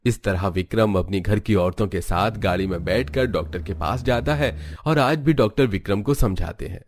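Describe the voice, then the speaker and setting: A man's voice: on the low side, moderate pace, a little hiss or hum. A man reading scripted narration as the storyteller of a cartoon family tale.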